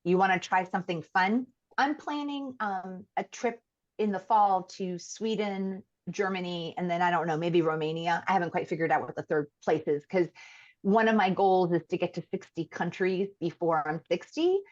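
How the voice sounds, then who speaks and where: A woman in her fifties, a podcast guest chatting casually in an animated, emphatic way. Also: fairly low pitch, lo-fi sound. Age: 50s. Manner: animated and emphatic, quick to laugh.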